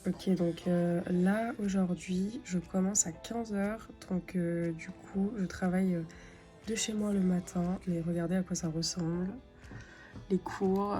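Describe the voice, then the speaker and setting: Chatty young French woman, mellow voice. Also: on the low side. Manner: relaxed and chatty, many "euh" fillers. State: stuffy with a cold. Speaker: vlogger, finance master's student. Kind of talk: casual vlog narration.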